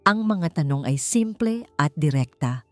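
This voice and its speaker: A Filipino woman narrates a dramatic story with measured suspense. Her voice sits a little low for a woman. Soft music plays behind her scripted delivery. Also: lively intonation. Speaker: audiobook narrator. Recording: voice over background music.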